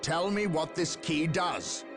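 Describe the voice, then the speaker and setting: An actor playing a stern, commanding space marine captain in a video game, with a forceful, declamatory delivery. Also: male voice, a little high, slow. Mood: demanding, stern. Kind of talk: dramatic video game acting. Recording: music behind the voice.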